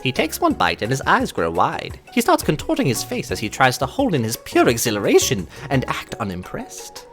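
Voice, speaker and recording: A man's voice: medium pitch, huge pitch swings, normal speed, hardly any pauses, a bit bright, faint music behind. A young man hosting a let's-play, reading game narration theatrically and bantering casually. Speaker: gaming channel host.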